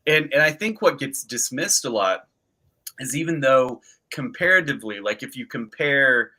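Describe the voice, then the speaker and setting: A man's voice: medium pitch, lively intonation, normal speed, pushed and bright. A man hosting an interview, speaking earnestly and thinking aloud with frequent restarts. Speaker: podcast host, interviewer. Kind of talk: casual conversational interview.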